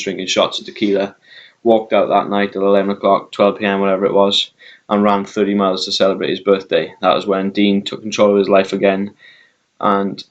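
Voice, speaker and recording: A man with a Welsh accent talking casually to his YouTube viewers, rambling with lots of ums and uhs and slowing himself down. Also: fairly low pitch, muffled recording. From Wales. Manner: rambling, many ums and uhs, deliberately slowed down. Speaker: vegan YouTuber. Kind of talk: casual talk to camera.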